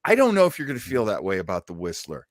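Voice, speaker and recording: Animated man hosting a radio show. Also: lowish voice, quick pace, no room sound, studio quality. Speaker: host of an old-time radio show. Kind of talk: casual chat and show intros.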